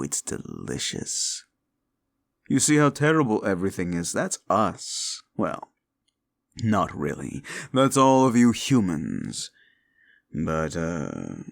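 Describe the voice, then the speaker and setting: A man voicing a scornful gravelly demon. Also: low-pitched, no echo, studio quality, heavily acted. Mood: gloating. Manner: slow, mocking and condescending. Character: scornful male demon bound by a wish contract. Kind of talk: audio roleplay.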